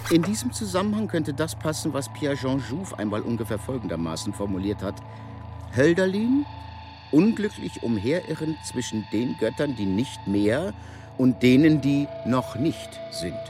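A man's voice: mid-range voice, very animated, moderate pace, close to an everyday voice. An actor playing an earnest, learned man in a scripted radio debate, speaking in an emphatic professorial way with music underneath. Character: earnest, learned male literary debater quoting poets and doubting. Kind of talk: scripted intellectual discussion in radio drama.

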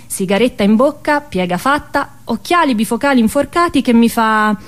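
A woman's voice: on the high side, animated, normal speed. A woman with a Tuscan accent tells a first-person story as an actress in a stage monologue.

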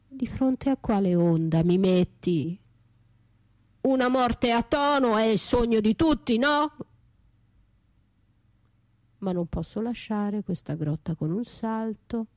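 An actress playing an anguished, bitterly lyrical battered wife left for dead, in an intimate, halting radio theatre monologue that drifts into whispers. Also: female voice, on the high side, a little mellow. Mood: sombre, recounting. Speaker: stage and radio actress performing her own monologue. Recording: roomy echo, faint music behind.

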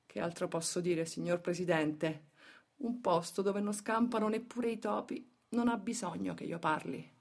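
An actress playing a worker's bitter, plain-spoken daughter giving grave testimony in a radio theatre monologue. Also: female voice, fairly low pitch, steady tone, moderate pace, creaky, a little mellow. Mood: resigned.

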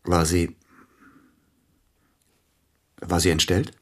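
An actor in a German radio drama playing a grieving, guilt-ridden celebrated pianist, probing and formal in a halting way. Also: male voice, deep voice, flat delivery, fairly bright tone, full character voice. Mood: agitated, grieving.

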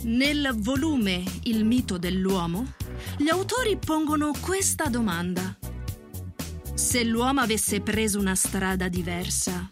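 A woman reading scripted narration as the introducer of a tale, in a measured, scholarly manner. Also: fairly high pitch, lively intonation, over music.

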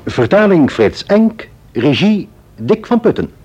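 A male radio-drama announcer reads titles in a fairly high, very animated voice, with prominent music playing under him. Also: takes it slowly.

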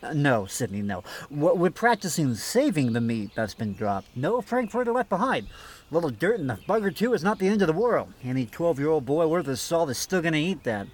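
Pompous grill-master host for comedy radio skit, played by a man: highish, very animated, exasperated instructor. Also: brisk. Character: pompous, stubborn male backyard grill master. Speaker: show host. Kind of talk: scripted comedy skit in old-time radio show.

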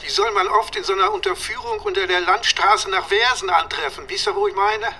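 An actor playing a fussy police chief in a radio crime drama, briefing officers in an emphatic, colloquial, slightly halting way. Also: male voice, high voice, expressive, creaky, sharp tone, slight background noise, exaggerated voice. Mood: businesslike, insistent. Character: fussy male police chief giving orders remotely.

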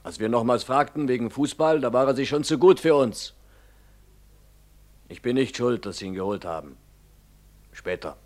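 A man's voice: medium pitch, lively intonation, normal speed, long pauses, a little mellow, lightly acted. A man playing a defensive journeyman witness. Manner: defensive, self-justifying testimony. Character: young male journeyman carpenter, defensive witness. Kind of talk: radio drama acting.

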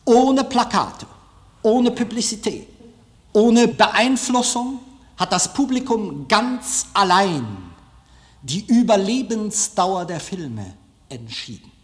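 Slow, declamatory man in a reverberant hall. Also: high-pitched, lively intonation. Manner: emphatic, deliberate phrasing with rhetorical repetition. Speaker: filmmaker giving a lecture. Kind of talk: public speech, declamatory lecture. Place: large lecture hall. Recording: reverberant lecture hall recording.

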